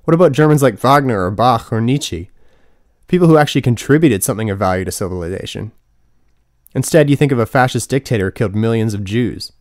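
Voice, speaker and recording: A man's voice: mid-range voice, lively intonation, quick pace, no echo, clean studio sound, lightly acted. Indignant young college woman, as a male narrator reads her. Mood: challenging, sarcastic. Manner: pointed, argumentative.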